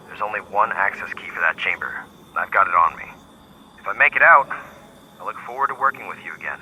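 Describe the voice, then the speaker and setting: An actor playing a dutiful, protective veteran soldier in a video game, talking tersely and with determination. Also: male voice, low-pitched, bright, forceful tone, slight background noise, exaggerated voice, poor audio.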